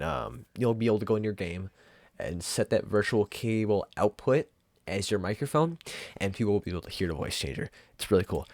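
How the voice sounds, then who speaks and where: A man giving a casual, off-the-cuff software tutorial in an offhand, rambling and informal way. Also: lowish voice, creaky, dry recording. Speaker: tutorial presenter.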